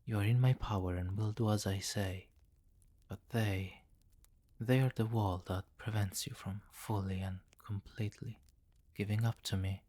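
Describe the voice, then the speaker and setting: A man playing a possessive, commanding vampire king in an intimate ASMR fantasy roleplay, speaking formally and deliberately, with courtly, doting authority. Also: on the low side, fairly even intonation, warm tone, close to an everyday voice. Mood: exasperated, reassuring.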